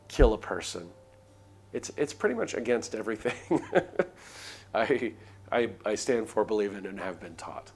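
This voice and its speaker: A man reading a visual novel aloud on a live stream, moving between dramatic narration and casual asides. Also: fairly low pitch.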